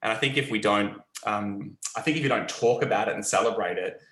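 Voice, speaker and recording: Man, Australian accent, low voice, hesitant, repeats words, echoey room. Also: a little fast, a bit bright. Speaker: agency leader, panelist. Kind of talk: conversational panel talk.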